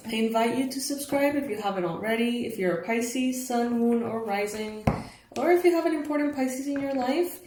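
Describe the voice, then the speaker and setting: A young woman reads tarot for her viewers in a hoarse, raspy morning voice. She chats casually, with fillers and the odd soft laugh. The room is echoey with a faint hiss. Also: a little high, moderate pace. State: hoarse, just woke up. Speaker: tarot reader, channel host.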